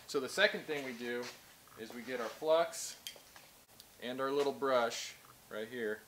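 A man in his thirties talks through a hands-on job in a casual, practical way, explaining each step as he works with his hands. His voice sits at an ordinary middle pitch, picked up close by the camera in a large workshop. A faint hiss of background noise runs underneath. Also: measured pace, a bit bright, poor audio. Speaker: tradesman instructor. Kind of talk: hands-on how-to demonstration. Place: large indoor workshop with shelving.

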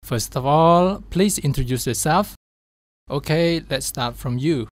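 A man's voice: on the high side, unhurried, dry recording, close to an everyday voice. A man playing a welcoming, organised group host in a radio drama. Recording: faint background ambience noise.

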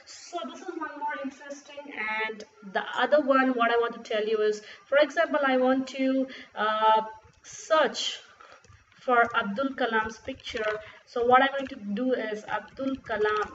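A woman whose first language is Urdu, walking through a screen-recorded software tutorial step by step with fillers and restarts. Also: high voice, a little slow. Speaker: tutorial presenter. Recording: dull lo-fi sound with faint noise.

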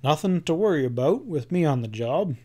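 A kindly rancher who is out of his depth talks in a folksy rural drawl, gruff but reassuring, as a man reads him. The voice sits a little high for a man. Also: lively intonation, a little fast, darkish tone.

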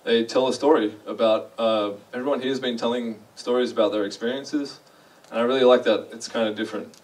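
A man's voice: mid-range voice, fairly even intonation, brisk, recorded from a distance, rough recording. Australian man giving a casual conference talk. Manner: chatty, self-deprecating, asks the audience questions. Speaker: conference speaker. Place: large dark lecture hall.

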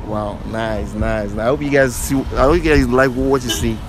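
A man's voice: medium pitch, normal speed, noisy background. A young man doing a teasing, pushy street interview outdoors with a handheld mic. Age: young adult. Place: outdoors on a busy campus street. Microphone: handheld clip mic.

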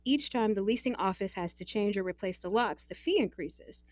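A woman's voice: mid-range voice, expressive, normal speed, darkish tone, no echo, close to an everyday voice. An actress playing a guarded, exasperated young working woman in an audio drama, frank and wry.

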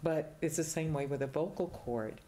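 Older woman with a low, mellow, slow voice. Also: slight background noise. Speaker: singing teacher, guest. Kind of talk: casual explanatory chat. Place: small TV studio, indoors.

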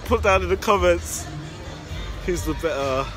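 A man's voice: high voice, a little slow, crowd noise. Playful joking man, music behind. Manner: joking, animated, repeats words. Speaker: fellow photographer. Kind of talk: playful casual chat to camera. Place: rainy city street at night, outdoors.

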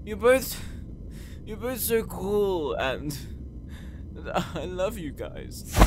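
Guy doing drunk insecure anime boy, high n whiny, slow and swingy delivery, fantasy roleplay. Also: very animated, a little hiss or hum, full character voice. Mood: drunken, heartfelt. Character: drunk, needy, insecure young man, anime brother. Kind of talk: anime-style fantasy audio roleplay acting. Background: music bed.

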